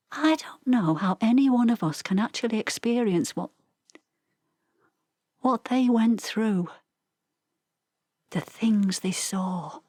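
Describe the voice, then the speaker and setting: An actress playing an elderly veteran's wife with a Northern English accent, reminiscing in a plain, reflective audio-play monologue. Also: female voice, medium pitch, a little mellow, husky, dry recording, clean studio sound. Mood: sorrowful, hushed. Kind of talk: verbatim theatre monologue.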